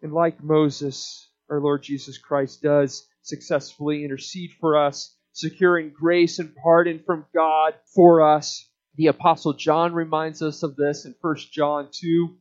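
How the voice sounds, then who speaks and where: High-voiced male preacher, muffled recording. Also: level tone, a little slow. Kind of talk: sermon. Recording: dull, band-limited sermon audio.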